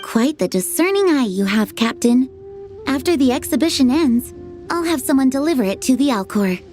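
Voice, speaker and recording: A woman voicing a smooth, businesslike female antiques dealer with a polished sales manner, in a game cutscene. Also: sits high, very animated, normal speed, a little mellow, faint music behind.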